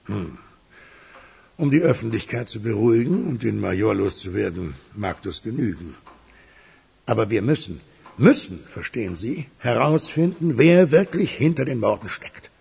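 An actor playing a powerful, commanding older town boss and grandfather in a German radio crime drama, husky and measured. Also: on the low side, animated, a little mellow, full character voice. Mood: grave, insistent. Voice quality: husky, gravelly.

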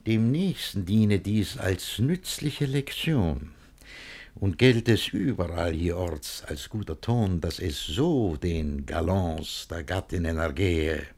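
Verse radio play: jealous middle-aged schemer, husky, agitated, very wide pitch swings, declamatory. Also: male voice, animated, slow pace, darkish tone, heavily acted. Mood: scheming, vengeful. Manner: declamatory, agitated, swinging between muttering and outbursts. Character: jealous, blustering, scheming middle-aged gentleman, easily panicked. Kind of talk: theatrical radio play acting in rhymed verse.

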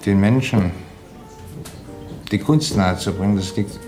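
A man, a theatre actor, talking reflectively in a halting, deliberate way. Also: on the low side. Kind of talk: reflective interview talk. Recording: music playing behind the voice. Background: music.

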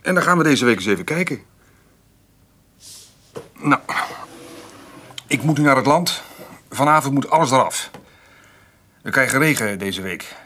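Patient son, expressive mid-pitched voice. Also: male voice, unhurried, pauses often. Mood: evasive, placating. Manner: soothing, evasive. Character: patient, dutiful grown son soothing his old mother. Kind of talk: radio play acting.

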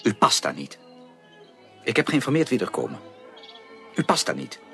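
An actor playing an earnest, caring and direct gentleman suitor in a radio drama, speaking formally and emphatically with probing, insistent questions. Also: mid-range voice, wildly swooping pitch, moderate pace, creaky, faint music behind, exaggerated voice. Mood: firm, urging. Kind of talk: 1980s Dutch radio drama acting.

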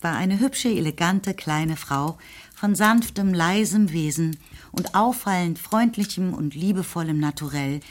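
A woman narrating a radio play in a calm, measured, lightly ironic way. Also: fairly low pitch. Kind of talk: scripted narration.

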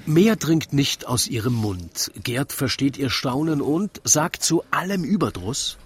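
A man narrating a comic radio play in rhyme, with wry, deliberate storytelling. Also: medium pitch, animated, breathy edge.

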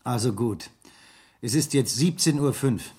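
An actor playing a brisk, self-assured real estate businessman in a 1970s German radio crime play, with a curt, businesslike manner. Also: mid-range voice, darkish tone, breathy edge. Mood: curt, concerned.

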